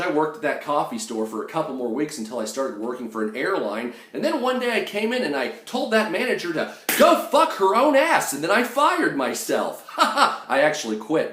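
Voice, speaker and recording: Male storyteller, brash and sarcastic with salty language, very lively intonation, recorded in an echoey room. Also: on the high side. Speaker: storytime video host. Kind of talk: animated personal storytelling.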